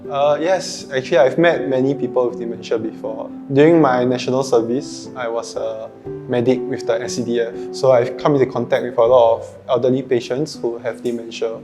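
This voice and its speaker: A man's voice: mid-range voice, steady tone, brisk. A man, a university student answering questions thoughtfully and a little unsure. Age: 20s. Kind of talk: casual interview answers. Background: guitar music.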